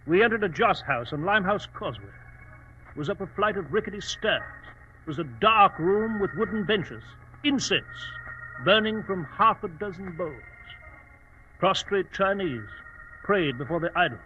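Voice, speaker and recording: Dr. Watson, high bright anxious English gentleman. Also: expressive, normal speed. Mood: narrating. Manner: fussy, exclamatory, nervous. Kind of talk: 1940s radio drama acting. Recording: dull, noisy old radio recording.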